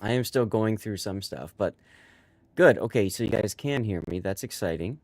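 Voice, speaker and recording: A man's voice: on the low side, expressive, moderate pace, darkish tone, dry recording. A man with a stuffy, congested voice, hosting a live stream and chatting casually with his viewers, rambling with many fillers.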